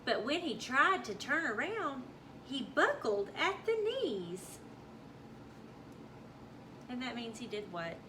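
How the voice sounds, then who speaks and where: Lady reading a bedtime story to kids, slow and super animated, noisy background. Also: high voice. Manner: expressive, pausing to explain to young listeners. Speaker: storybook reader. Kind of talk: children's bedtime story read aloud. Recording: noticeable background noise.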